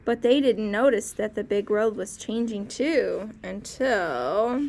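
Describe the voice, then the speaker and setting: A woman teacher reading a storybook aloud to young children, expressive and pausing to ask questions. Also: medium pitch, gravelly.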